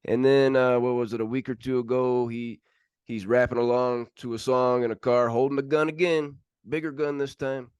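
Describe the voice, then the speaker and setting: A man co-hosting a sports podcast, relaying news in casual, informal chat with a halting delivery. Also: mid-range voice, fairly even intonation, a little mellow, clear, resonant tone, no room sound, clean studio sound.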